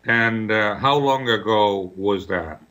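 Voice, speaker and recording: Bright-voiced radio host man. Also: on the low side, slow. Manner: searching, rephrasing questions. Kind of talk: radio interview.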